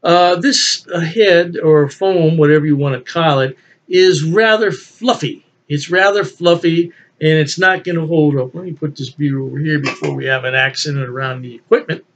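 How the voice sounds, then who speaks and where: An older man talks through a beer tasting in a relaxed, folksy way, chuckling to himself as he goes. His voice sits a little high for a man. The webcam sound is somewhat dull and lo-fi. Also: normal speed, slight background noise. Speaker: beer reviewer. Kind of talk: casual solo video review. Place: home office with beer memorabilia, indoors. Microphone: webcam at desk.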